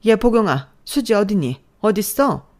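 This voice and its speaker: A woman voicing a furious, controlling middle-aged mother-in-law who yells and scolds harshly in a spoken story. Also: medium pitch, very animated, normal speed, voice pushed up.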